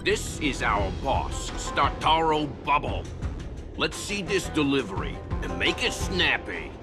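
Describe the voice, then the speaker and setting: A curt, impatient gang henchman snaps out short lines in a mid-range voice that moves a lot in pitch. It is game cutscene audio with clear music behind. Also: big pitch swings, slow.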